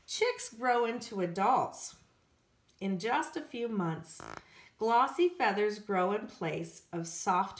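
A woman, an elementary school teacher, reading a children's nonfiction book aloud with careful phrasing for young listeners. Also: medium pitch. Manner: careful, clearly phrased read-aloud delivery with emphasis for children. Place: indoors at home, hard-surfaced kitchen. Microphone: handheld phone. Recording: echoey room, handheld home recording.

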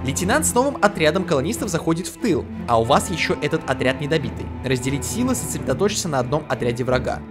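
A man's voice: a little high, big pitch swings, quick pace, few pauses. Slangy man reading game text. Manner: slangy, reads game text aloud, reacts loosely. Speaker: let's play host. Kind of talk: casual gameplay commentary. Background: game music.

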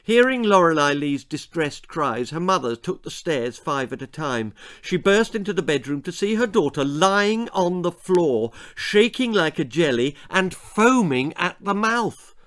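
A man's voice: fairly high pitch, sing-song, measured pace, hardly any pauses, fairly bright tone. A man in his sixties with a British accent, an author reading his children's story theatrically, relishing each grotesque detail. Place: small book-lined room indoors.

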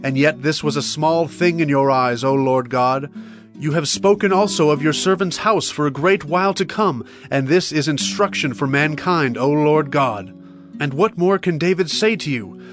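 Male actor as earnest, devout ancient king, scripture drama, lighter pitch, musical underscore. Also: natural voice. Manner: earnest, declarative.

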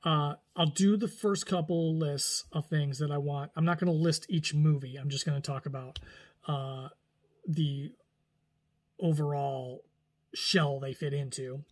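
A man's voice: a little high, a little slow. Forties guy vlogging from home, chatty and rambly, keeps restarting sentences, phone mic up close. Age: 40s. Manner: rambling, animated, lots of restarts and hand gestures. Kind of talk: casual talking-head vlog. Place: small room indoors at home.

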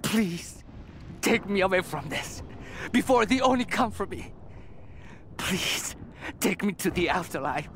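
An actor playing a terrified, doomed Japanese soldier in a video game, speaking in an anguished, halting way. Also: male voice, high voice, animated, a bit bright, a little hiss or hum, exaggerated voice. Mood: despairing, pleading. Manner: halting, haunted, anguished delivery. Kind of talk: dramatic video game diary narration.

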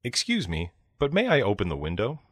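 Male language-lesson narrator, low pitch with animated intonation, careful instructional delivery. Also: brisk, breathy edge, no room sound, clean studio sound. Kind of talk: scripted reading of English practice phrases.

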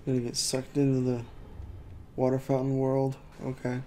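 A man doing casual let's play commentary into a desk mic, thinking aloud with a throat that keeps needing clearing. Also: medium pitch, completely flat delivery, normal speed, dark tone, a little hiss or hum. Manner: laid-back, thinking aloud. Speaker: gaming youtuber.

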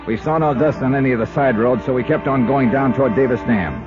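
An actor playing a tough, level-headed investigator, firm and clipped, in a 1950s radio drama. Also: male voice, medium pitch, fast, natural voice. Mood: narrating, tense. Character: tough, level-headed male investigator telling his own case. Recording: muffled 1950s radio recording with music.

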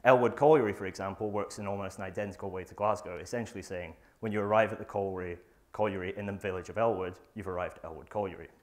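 A young man gives a research talk to an audience in a lecture theatre, speaking into a lapel mic. His voice sits a little low, and he reads from his notes, halting often on fillers and restarting phrases. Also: steady tone. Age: young adult. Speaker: student presenter. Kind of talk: academic conference talk.

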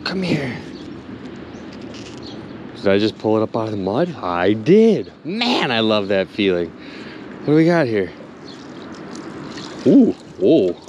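Male outdoor vlogger, animated and chatty, faint outdoor hiss. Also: medium pitch. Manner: excitable, chatty, keeps addressing viewers as "you guys". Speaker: magnet fishing vlogger. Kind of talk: excited outdoor vlog commentary. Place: outdoors by a river.

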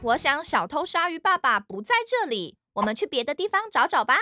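A woman voicing an eager, friendly young fox police officer in a children's cartoon, animated and questioning, with a Taiwanese Mandarin accent. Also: sits high, fairly quick, full character voice. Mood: curious, searching. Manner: animated, questioning, exaggerated reactions. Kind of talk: children's cartoon dubbing, addressing young viewers. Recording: music behind the voice.

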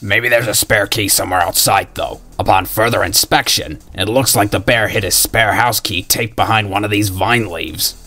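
A man's voice: fairly low pitch, moderate pace, hardly any pauses, pushed and bright, no room sound, faint music behind. A man voicing a snarky, foul-mouthed ginger schoolboy gunslinger in a video game, cocky and slangy yet caring. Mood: dry, snarky. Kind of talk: video game character voice acting.

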